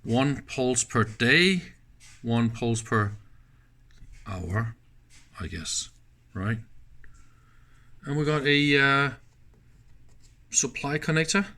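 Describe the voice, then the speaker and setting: A man presenting a casual hands-on demonstration, speaking in halting pieces and often checking in with short questions. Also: mid-range voice, expressive, pushed and bright. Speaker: presenter of electronics teardown videos.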